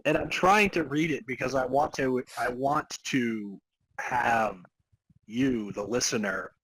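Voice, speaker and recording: A man narrating a comic dramatic reading of fan fiction as a podcast host, halting and amused over broken sentences. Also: mid-range voice, a bit bright, breathy edge, no room sound, poor audio.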